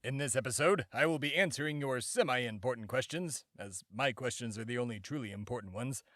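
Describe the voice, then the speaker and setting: A man's voice: medium pitch, a little fast, no echo, studio quality, close to an everyday voice. Man playing pompous, immortal embodiment of America. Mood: self-important. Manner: pompous, shouting announcer bursts, rambling asides. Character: immortal, self-important male embodiment of America, bombastic. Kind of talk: comedy podcast character monologue.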